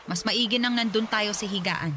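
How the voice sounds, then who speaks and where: A woman's voice: medium pitch, moderate pace, bright, forceful tone, a little hiss or hum, lightly acted. An actress playing a stressed, middle-aged mother who scolds her daughter. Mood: worried. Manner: scolding, exasperated.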